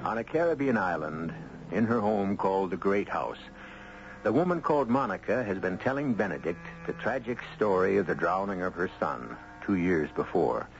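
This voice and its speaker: A man hosting a 1970s radio mystery series with theatrical, deliberate scripted narration. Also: low-pitched, expressive. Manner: dramatic, deliberate, rhetorical. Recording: muffled 1970s radio broadcast, music behind.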